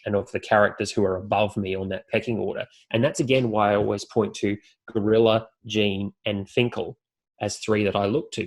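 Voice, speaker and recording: An Australian man speaking as a podcast guest and announcer, explaining things articulately and building his points in lists. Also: fairly low pitch. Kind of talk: podcast interview talk.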